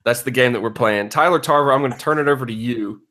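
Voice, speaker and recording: A man's voice: medium pitch, quick pace, fairly bright tone, soft background music. A man co-hosting a podcast in casual, mock-earnest banter with his friends.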